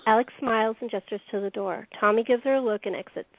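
A woman's voice: a little high, fast, gravelly, no room sound. A woman narrating a scripted radio play in the present tense as its narrator. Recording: thin, phone-like band-limited audio.

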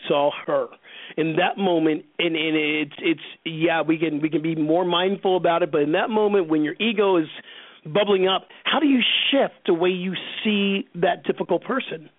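A man's voice: on the high side, normal speed, no room sound. A man hosting an interview, asking his questions in a casual, conversational way. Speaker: interview host. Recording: narrow phone-like band, dry.

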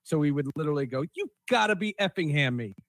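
A man's voice: fairly high pitch, animated, quick pace, a bit bright, dry recording, studio quality. A man hosting a podcast in casual chat, with an informal, exclamatory way of talking. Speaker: podcast host.

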